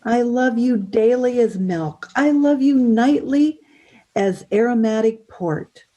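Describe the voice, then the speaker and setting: A woman reading a poem aloud in a measured style. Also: fairly high pitch, mellow. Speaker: reader.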